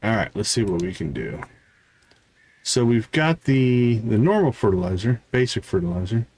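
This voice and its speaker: A man's voice: fairly low pitch, sing-song, moderate pace, darkish tone, slight background noise. A man giving casual live game commentary as a streamer, thinking aloud and chatting to viewers.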